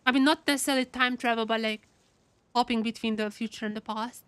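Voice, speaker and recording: High-pitched woman reacting to a game. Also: fast talker, clear, resonant tone. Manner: excitable, repeats words. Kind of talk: live reaction commentary, casual chat.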